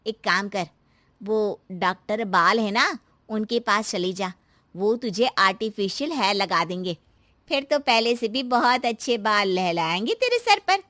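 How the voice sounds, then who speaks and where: A woman voicing a practical neighbour who hushes and advises in an animated story. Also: mid-range voice, measured pace, dry recording. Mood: hushing, helpful.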